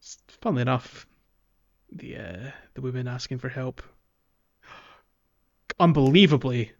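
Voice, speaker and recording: A man hosting a podcast, casually recapping a video game in a hesitant, halting way. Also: mid-range voice, lo-fi sound. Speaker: podcast host.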